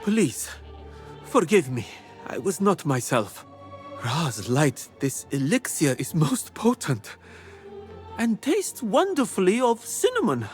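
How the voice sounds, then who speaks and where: A man's voice: on the high side, wildly swooping pitch, slow pace, faint music behind, heavily acted. A man voicing a formal, old-fashioned male keeper of ancient secrets in a video game cutscene. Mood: relieved, warm. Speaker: game character.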